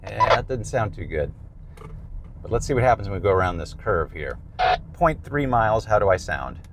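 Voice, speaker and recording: A middle-aged American man presents a product review. His voice sits on the low side, and he explains things in plain, conversational language. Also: very animated, normal speed, very noisy.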